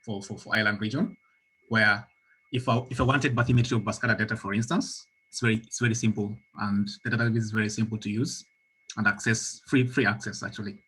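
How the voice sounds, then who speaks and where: A man's voice: on the low side, steady tone, quick pace, fairly bright tone, husky, low-quality recording. A man from Kenya speaking in an online meeting, often repeating words as he looks for the right phrasing. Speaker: meeting participant.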